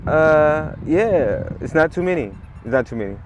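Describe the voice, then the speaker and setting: A man, chatting in a relaxed, rambling way as an interview guest, full of "like" and "you know". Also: a little high, moderate pace, muffled recording, background noise. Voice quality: raspy. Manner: laid-back, rambling, heavy on "like" and "you know". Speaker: musician guest. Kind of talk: casual interview chat.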